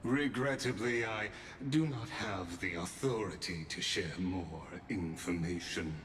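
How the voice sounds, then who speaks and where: Slow, deep, courteous ancient watcher. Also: male voice, fairly bright tone, slight background noise. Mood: calm, enigmatic. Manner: formal, measured, unctuously polite, halting pauses. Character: ancient, courteous male watcher, calm and enigmatic. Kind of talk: video game voice acting. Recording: echoing space, music underneath.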